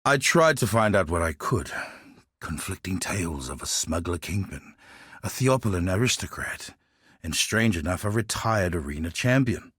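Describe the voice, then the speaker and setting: A sly, world-weary barber who trades in secrets for a video game. His husky voice sits fairly low and swings expressively through wry, pointed phrases. The recording is close and dry. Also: animated, moderate pace, a bit bright, no echo, heavily acted. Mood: gossipy, wry. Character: sly, gossiping Karui barber and informant, world-weary man. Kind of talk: scripted video game character dialogue.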